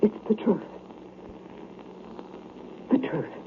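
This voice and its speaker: An actress playing a desperate, insistent woman pleading to be believed in an old-time radio drama. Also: very slow. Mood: distraught, pleading. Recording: tinny, noisy old radio recording.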